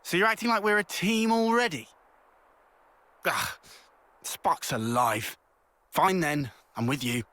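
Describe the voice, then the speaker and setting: A man's voice: a little high, takes it slowly, long pauses, pushed and bright, no echo. A man playing a brash, grumbling young soldier in a video game, blunt and grouchy. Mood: grudging, irritated.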